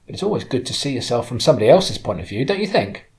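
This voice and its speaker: A man voicing a cheerful Cornish woman, pitched up and lively. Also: fast. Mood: curious, bright. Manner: chatty, warm, direct, questioning. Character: cheerful, easy-going, kindly Cornish woman driver.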